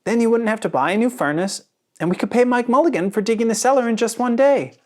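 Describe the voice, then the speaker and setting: A reader putting on an excited, high-pitched little boy. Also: quick pace, lightly acted. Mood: thoughtful, hopeful. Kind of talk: children's storybook read-aloud.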